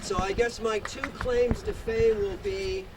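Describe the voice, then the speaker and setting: A man in his sixties, a museum director, giving a measured commemorative speech outdoors, reading dates and historical detail in a loud voice. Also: high-pitched, fairly even intonation. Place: outdoors at a podium in a park. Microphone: podium microphone, distant. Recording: distant podium mic, outdoor traffic hum.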